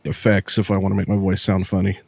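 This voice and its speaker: A man's voice: sits low, completely flat delivery, quick pace, creaky, a little mellow, breathy edge, dry recording. Dry-sounding man talking tech. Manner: rambling, explains with restarts. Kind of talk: casual tech chat. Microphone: desk mic through a mixer.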